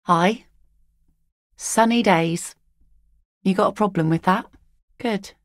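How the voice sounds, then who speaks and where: A woman's voice: lowish voice, vocal fry, no echo, exaggerated voice. An actress voicing a weary working-class fisherwoman in a game, blunt and matter-of-fact. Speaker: game character voice lines. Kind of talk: video game voice acting. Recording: dry close studio recording.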